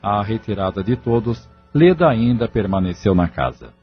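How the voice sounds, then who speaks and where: Low-voiced male audio drama narrator. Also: lively intonation, normal speed, breathy edge, muffled recording. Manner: formal, storytelling. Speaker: story narrator. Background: music.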